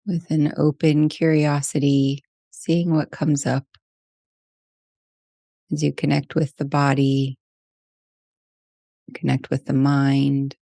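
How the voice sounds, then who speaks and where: Low, mellow woman guiding meditation. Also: vocal fry, no room sound, studio quality. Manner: slow, gentle pauses between short phrases. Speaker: meditation guide. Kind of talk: guided meditation.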